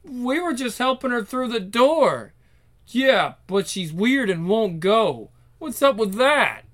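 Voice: mid-range voice, very animated, slow pace, fairly bright tone, voice pushed up. A performer voicing pushy, taunting young schoolyard bullies in a dramatic story reading. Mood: defensive.

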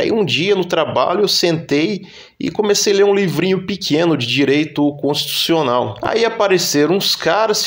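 Brazilian man, slightly high voice, lecturing react commentary, critical tone. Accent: Brazilian Portuguese. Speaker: video reactor.

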